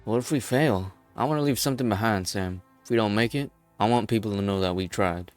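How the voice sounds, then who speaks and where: A grim, world-weary leader of desperate outcasts, played by a man, speaks in a fairly low voice. He sounds disillusioned, with dramatic game-story delivery over music. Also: brisk, lightly acted. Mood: bitter, resolute. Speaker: amateur voice actor. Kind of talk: dramatic fan-dub voice acting.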